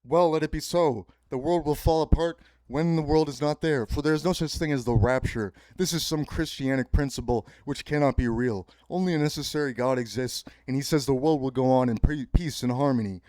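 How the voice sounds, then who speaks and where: A man's voice: medium pitch, a little fast, few pauses, warm tone, no echo, low-quality recording. Man rambling grandly, dry close sound. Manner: grandiose, pseudo-philosophical preaching with sudden profanity; repetitive, circling phrasing. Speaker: podcast host. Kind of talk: rambling solo podcast monologue.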